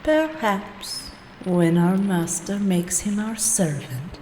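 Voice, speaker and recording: An actress playing a seductive, taunting vampire bride in a radio play, with a languid, mocking, formal delivery. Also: female voice, mid-range voice, big pitch swings, takes it slowly, warm tone. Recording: long hall reverb, background noise.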